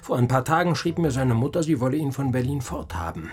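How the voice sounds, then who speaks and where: An actor in a German radio play playing a courteous, solicitous gentleman of society with a gallant, formal, cultivated manner. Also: mid-range voice, big pitch swings, quick pace, natural voice. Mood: thoughtful concern.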